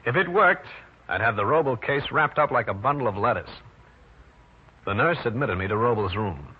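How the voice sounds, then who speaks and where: An actor playing a tough, wisecracking private detective in an old-time radio drama, brusque, slangy and sardonic. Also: male voice, medium pitch, expressive, moderate pace, natural voice. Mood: matter-of-fact narrating. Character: tough, wisecracking New York private detective, brash and hard-boiled. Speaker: lead actor and narrator. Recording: noisy, muffled old radio recording.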